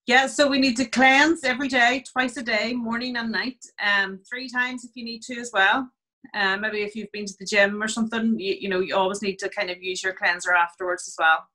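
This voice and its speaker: Bright-voiced woman explaining skincare over video call. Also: medium pitch, fairly even intonation, a little fast, rough recording. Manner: chatty, many fillers, explains step by step. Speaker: skin clinic therapist. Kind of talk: casual explanatory chat.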